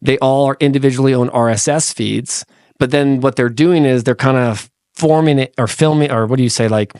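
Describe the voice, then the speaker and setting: A man's voice: mid-range voice, fairly quick, clean tone, no echo. Guy hosting a podcast, rambles, lots of energy, dry close mic. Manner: rambling, energetic, many restarts. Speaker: podcast host. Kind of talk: casual chat. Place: podcast studio. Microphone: studio microphone.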